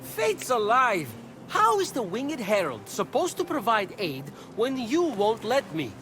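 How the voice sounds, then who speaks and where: An actor playing a glib, wry and playful trickster messenger god in an animated video game. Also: male voice, very high voice, a little slow, faint music behind, full character voice. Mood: flippant, teasing. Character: quick-witted male trickster messenger god, flippant and playful.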